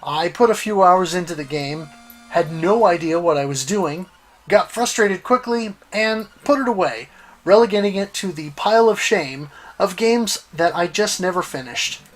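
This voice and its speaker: Higher-voiced man narrating a scripted retrospective. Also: lively intonation, normal speed, low-quality recording. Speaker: host of a retro gaming series.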